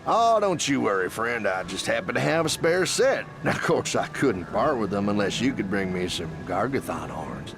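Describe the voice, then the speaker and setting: A man's voice: on the low side, big pitch swings, normal speed, hardly any pauses. An actor playing a chatty, friendly frontier trader in a video game, with a rural Southern American drawl and a salesman's folksy manner. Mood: friendly salesmanship. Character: folksy friendly male frontier trader who bargains for trophies. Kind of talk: animated game character acting. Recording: music behind the voice.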